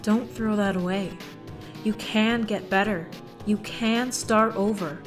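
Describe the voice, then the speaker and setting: A woman's voice: medium pitch, steady tone, slow pace, over music, natural voice. A woman playing a firm, motherly character who pleads earnestly and emphatically in a fan comic dub. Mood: gentle, earnest.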